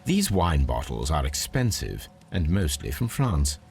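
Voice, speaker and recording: Victorian English detective, game. Low register with expressive swings, composed, exact, quietly deductive. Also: male voice, vocal fry, soft background music, exaggerated voice. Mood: musing. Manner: deliberate, precise, musing. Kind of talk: video game voice acting.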